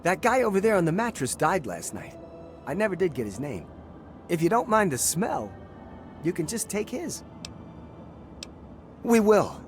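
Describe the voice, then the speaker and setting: A man's voice: high voice, big pitch swings, unhurried, long pauses, faint music behind. An actor playing a grateful freed male captive in a video game, earnest and thankful.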